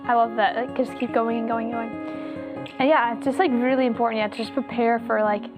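A woman with a somewhat high, slightly hoarse voice leads a relaxed faith conversation. She rambles in a chatty way, stacking "like" through her sentences. Plucked guitar music plays clearly under her voice. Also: fairly quick. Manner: chatty, fills speech with "like". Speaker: host. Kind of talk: casual faith discussion.

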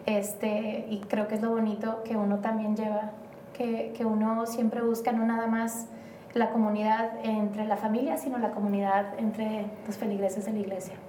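A woman's voice: medium pitch, little pitch movement, moderate pace, mellow. A woman from Mexico, a guest in an interview, talking in a rambling, hesitant way with many fillers. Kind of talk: casual interview conversation. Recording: roomy, distant, faint noise.

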